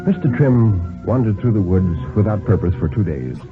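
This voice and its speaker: Expressive man reading on vintage radio. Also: fairly low pitch, music in the background. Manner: deliberate, dramatic, expressive phrasing. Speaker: storyteller reading a thriller story. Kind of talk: 1950s radio dramatic reading of prose. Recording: narrow-band vintage 1950s radio recording.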